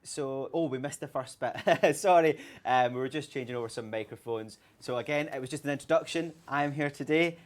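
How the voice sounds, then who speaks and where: A man's voice: mid-range voice, brisk, hardly any pauses. A young Scottish man hosting a livestream interview, chatty and upbeat with plenty of ums. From Scotland. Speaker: livestream host. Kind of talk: casual interview chat.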